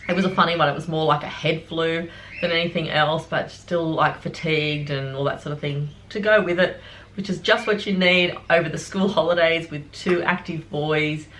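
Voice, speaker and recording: A middle-aged woman with a croaky voice from a cold, chatting casually and rambling to her viewers about groceries. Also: sits low, steady tone, normal speed, fairly bright tone, a little hiss or hum. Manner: chatty, rambling, many fillers. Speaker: vlogger. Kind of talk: casual chat to camera.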